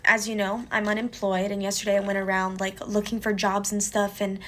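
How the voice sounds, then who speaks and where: A woman's voice: mid-range voice, little pitch movement, a bit bright. A woman in her twenties, Portuguese native speaker, talking earnestly and emotionally about her life in a personal video. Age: 20s. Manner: earnest, emotional, talks fast. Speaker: recent graduate. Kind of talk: personal social media video.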